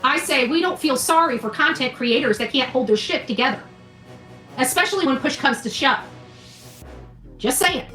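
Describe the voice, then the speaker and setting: A woman's voice: high voice, animated, normal speed, pauses often, sharp tone. A woman delivering an impassioned, blunt opinion rant as a show host, scolding, mildly profane and repeating phrases for emphasis. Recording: echoey room, faint music behind.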